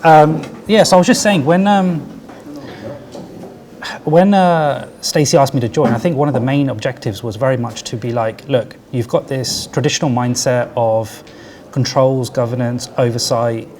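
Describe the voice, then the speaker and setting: Soft-voiced man giving a conference talk. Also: medium pitch, fairly even intonation, moderate pace, a little hiss or hum. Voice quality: soft, quiet voice. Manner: conversational, tag questions like "right?" and "yeah?". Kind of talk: conference presentation. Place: conference venue with audience.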